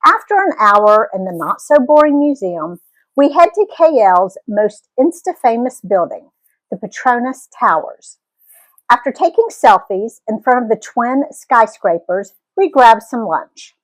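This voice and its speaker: A woman reading a story aloud as an expressive, animated narrator. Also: on the high side, unhurried, low-quality recording. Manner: animated storytelling with acted dialogue.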